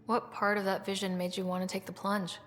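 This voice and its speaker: An actress playing a sincere, supportive young leader in a video game, inquisitive, with a creaky edge to the voice. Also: female voice, mid-range voice, flat delivery, a little fast, a little hiss or hum, close to an everyday voice. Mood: sympathetic. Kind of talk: video game dialogue acting. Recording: long reverberant hall sound.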